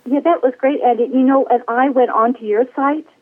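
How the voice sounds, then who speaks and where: A woman's voice: high-pitched, fairly even intonation, fairly quick. A woman in her sixties from the United States, a guest talking in a bubbly, casual way and laughing often. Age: 60s. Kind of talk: casual interview. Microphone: phone call. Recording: tinny phone line.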